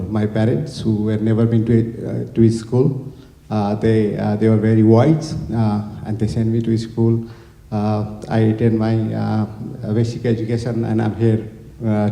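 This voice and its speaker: A middle-aged man from Nepal giving a hesitant speech to a gathering, with many pauses and fillers. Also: on the low side, vocal fry, warm tone. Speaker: honorary consul general and college owner. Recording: echoey hall.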